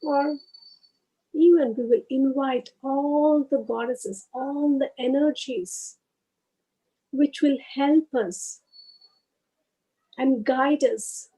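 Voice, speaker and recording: A woman leading a guided meditation, speaking in short, unhurried phrases with pauses between them. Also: high-pitched, mellow, rough recording.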